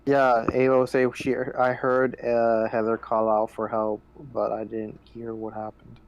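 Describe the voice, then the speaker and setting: A man with a medium-pitched voice takes part in a tabletop roleplaying game. He talks casually and haltingly, relaying what his character says and does. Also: monotone, vocal fry, dull audio, slight background noise.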